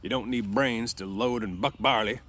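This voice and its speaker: A man voicing a suspicious, domineering ranch boss in a storybook read-aloud, questioning in a gruff, slangy way. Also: lowish voice, moderate pace, a bit bright. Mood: stern warning.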